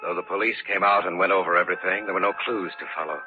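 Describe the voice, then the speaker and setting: A very low-voiced male actor playing a haunted man narrates a vintage radio mystery. His delivery is deliberate and uneasy, building suspense. The old recording is muffled, with music clearly present. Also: fairly even intonation, close to an everyday voice. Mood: grave. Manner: slow, deliberate, suspenseful storytelling.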